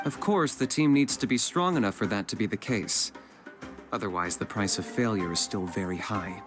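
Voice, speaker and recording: Calm young male fighter for a game, male actor, matter-of-fact, lowish register, music underneath. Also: moderate pace, lightly acted. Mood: calm, serious. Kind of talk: video game voice acting. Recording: music behind the voice.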